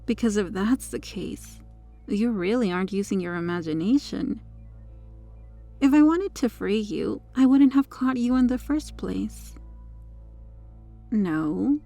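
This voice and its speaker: A smug, possessive superheroine played by a woman speaks in a mellow voice with plenty of creak at the edges. She is flirtatious and condescending, teasing her captive in an intimate ASMR roleplay. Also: mid-range voice, measured pace, soft background music. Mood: teasing condescension. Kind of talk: ASMR roleplay monologue addressed to the listener.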